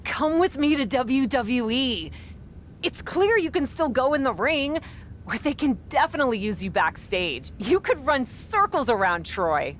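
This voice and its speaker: A woman's voice: sits high, very animated, unhurried, slight background noise. An actress playing a headstrong young female pro wrestler in a video game cutscene, wry and sincere. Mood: resolute.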